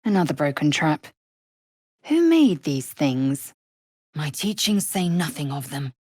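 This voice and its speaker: A woman's voice: low voice, big pitch swings, measured pace, darkish tone, husky, no room sound, studio quality, full character voice. An actress playing a fierce, proud alien warrior woman in a video game, speaking in a clipped, imperious, scornful way. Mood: brooding, appraising. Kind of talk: video game character voice lines.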